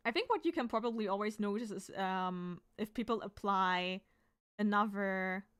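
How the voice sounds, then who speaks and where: Smooth-voiced woman chatting loosely. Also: mid-range voice, normal speed, fairly bright tone. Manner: rambling, thinking aloud with many fillers. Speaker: artist streaming while drawing. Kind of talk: casual chat to stream viewers.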